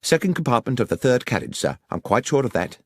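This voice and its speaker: A man's voice: medium pitch, big pitch swings, fast talker, breathy edge, no room sound, clean studio sound, voice pushed up. A man voicing a neat, orderly country station master who answers politely and plainly. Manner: polite, deferential, plain-spoken.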